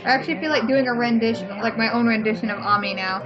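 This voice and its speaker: A fairly high-pitched woman gives casual let's play commentary. She is blunt and opinionated and swears freely. Her mic sounds dull and lo-fi, with game music clearly audible behind her. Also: quick pace.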